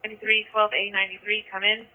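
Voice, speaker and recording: High-voiced, fast male dispatcher on tinny radio. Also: completely flat delivery. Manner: clipped, procedural, repeats details. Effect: police radio. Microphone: two-way radio. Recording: tinny narrowband police scanner radio.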